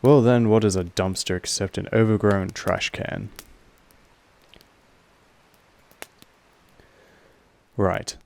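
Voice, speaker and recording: Possessive male vampire master played by a man for roleplay audio: low, evenly pitched, measured, patronizing, aloof. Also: fairly even intonation, vocal fry, a little mellow. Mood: firm, teasing. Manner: measured, formal, condescending. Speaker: voice actor in a roleplay audio drama. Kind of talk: slow intimate monologue addressed to the listener.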